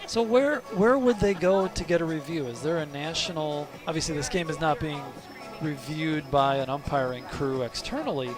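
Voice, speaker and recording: Music is clearly audible behind a man calling a live game. His voice sits a little high for a man, and he talks in a deliberate, questioning way. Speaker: sports broadcast commentator. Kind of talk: live play commentary.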